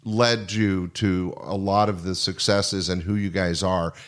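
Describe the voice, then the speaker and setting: An older man hosting a podcast in casual conversation, talking in an earnest, wordy, halting way. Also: low voice, little pitch movement, fairly bright tone. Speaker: podcast host. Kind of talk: casual interview chat.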